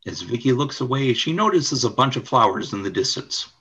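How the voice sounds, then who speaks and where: A man's voice: mid-range voice, expressive, fairly quick, a bit bright, husky. A man reading scripted scene narration for a radio play. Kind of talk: scripted narration of stage directions.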